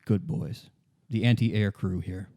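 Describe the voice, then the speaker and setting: Man playing a low, mellow, weary old deserter. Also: fairly even intonation. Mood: curt, guarded. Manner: halting, repeating himself, grimly insistent. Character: bitter, weary old communist deserter, defiant ex-commissar.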